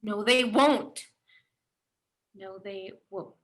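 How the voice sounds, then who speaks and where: A woman's voice: medium pitch, extremely slow. A woman playing an anguished, accusing author, impassioned, in a dramatic table read of a stage play. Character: anguished, accusing female author confronting a tyrant.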